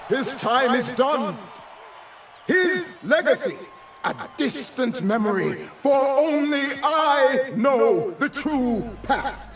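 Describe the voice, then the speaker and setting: An actor playing a zealous false prophet in a video game, preaching with booming fervour. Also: male voice, animated, slow, echoey room, distant mic, slight background noise, heavily acted. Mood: zealous, exultant. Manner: booming, fervent sermon delivery. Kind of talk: dramatic video game cutscene acting.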